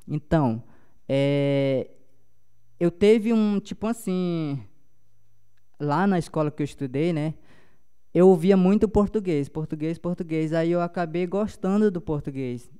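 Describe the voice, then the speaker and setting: A young man with a Paumari accent, a native Paumari speaker, giving a halting talk at a panel with many restarts. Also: a little high, dark tone, clear, resonant tone.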